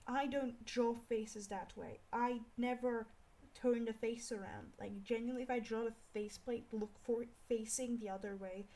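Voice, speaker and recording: A young woman with a Scottish accent chatting casually on an art livestream, rambling and scolding herself out loud in exasperation. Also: fairly high pitch, steady tone, moderate pace, few pauses. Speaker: art streamer. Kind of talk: casual livestream chat while drawing.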